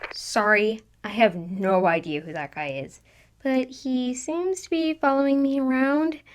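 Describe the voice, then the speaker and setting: A woman's voice: sits high, unhurried, voice pushed up. A woman voicing a chatty, eager, earnest teenage girl trainer in a fan fiction story reading. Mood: apologetic.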